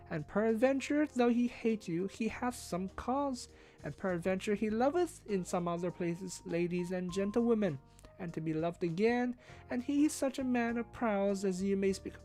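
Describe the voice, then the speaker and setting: A woman's voice: mid-range voice, moderate pace, warm tone, close to an everyday voice. A woman voicing an earnest, honourable medieval knight with formal, archaic declaiming in a storybook. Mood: courtly, reproving. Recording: faint music behind the voice.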